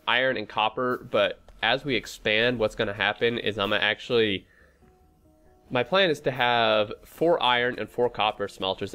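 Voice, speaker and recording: A man's voice: mid-range voice, bright, forceful tone. A man with a stuffy, head-cold voice giving casual gameplay commentary, thinking aloud in a hesitant, rambling way. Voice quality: stuffy, congested nose. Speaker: host of a gaming playthrough series.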